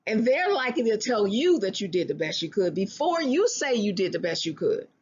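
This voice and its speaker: A speaker giving earnest relationship advice in a self-help talk, often restarting phrases and slowing down to stress a point. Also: medium pitch, very animated, breathy edge, dull audio. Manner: earnest, repeats and restarts phrases, slows for emphasis.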